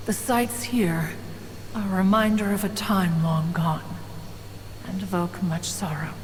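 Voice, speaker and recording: A woman's voice: on the low side, steady tone, unhurried, a little mellow, slight background noise, natural voice. A woman voicing a proud, ancient female immortal crane in a game, speaking in pompous, archaic, formal phrases. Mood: sorrowful, reproachful. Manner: pompous, archaic formal diction, refers to self as "one". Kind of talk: scripted game dialogue, theatrical storytelling. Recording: long hall reverb, music behind.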